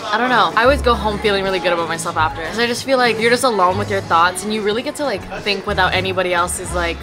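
A woman's voice: mid-range voice, very fast, over music. A nineteen-year-old woman talking casually to camera as a vlogger, candid and slangy with some swearing. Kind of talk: casual chatty vlog to camera.

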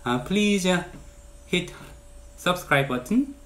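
A man in his forties from South Korea with a Korean accent, speaking earnestly and in measured phrases to camera as he introduces his channel as a realtor, in a bright indoor room. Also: sits high, animated. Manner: earnest, measured, with stressed lifts on key words.

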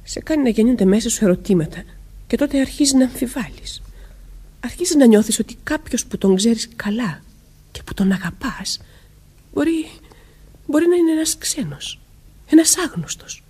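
An actress playing an anxious young married woman in a radio murder mystery, earnest and halting, with dramatic delivery and a husky voice. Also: fairly high pitch, lively intonation, dark tone. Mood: fearful, doubting. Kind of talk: Greek radio theatre acting.

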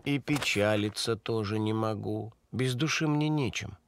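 A man's voice: on the low side, a little slow, noisy background. A man voicing a glum, anxious big pirate schoolboy in a cartoon, mournful and earnest. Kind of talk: cartoon voice acting.

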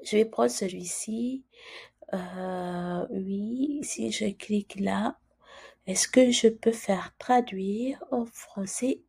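A woman with a cold hosting a screen-share tutorial, talking softly and casually with many fillers and restarts. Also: mid-range voice, drawn-out words, gravelly, breathy edge. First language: French. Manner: chatty, hesitant with frequent fillers and restarts. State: has a cold, speaking softly. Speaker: immigration channel host.

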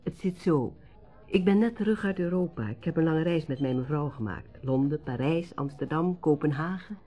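An actress playing a caring, earnest fiancée in a Dutch radio drama. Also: female voice, low-pitched, normal speed, muffled recording, soft background music, natural voice. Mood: explaining. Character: Edwina, caring, earnest fiancée, a lady's companion.

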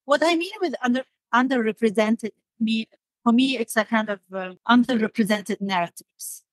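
A woman's voice: a little high, moderate pace, no echo, rough recording. Woman, dry close sound. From Damascus, Syria. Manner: hesitant, searching for words. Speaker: podcast guest, designer. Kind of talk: thoughtful interview conversation.

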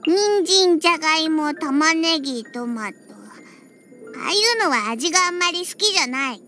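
High, bright, pouty little catgirl. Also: normal speed. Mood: grumbling. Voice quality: high, childlike, cutesy voice. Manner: pouty, huffy, with cat-like verbal tics. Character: feisty little catgirl bartender who hates alcohol. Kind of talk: Japanese anime game character acting. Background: background music.

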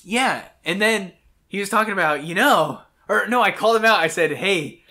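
A man's voice: sits high, lively intonation, normal speed, sharp tone. A man casually bantering as co-host of a gameplay video, blunt and often swearing. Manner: profane, blunt. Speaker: let's play co-host. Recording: music under the voice. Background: game music.

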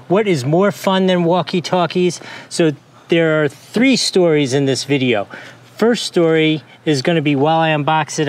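An American man in his forties giving a casual, unscripted product review to camera, recorded outdoors on a lapel mic. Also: a little high. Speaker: product reviewer, video host. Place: mostly outdoors in a driveway and street. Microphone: clip-on lapel mic. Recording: clear outdoor background noise, passing vehicles.

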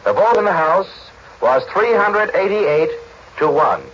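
A man reading news bulletins as a formal, deliberate 1940s radio announcer, on an old broadcast recording. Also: high-pitched, expressive, a bit bright, dull audio, a little hiss or hum.

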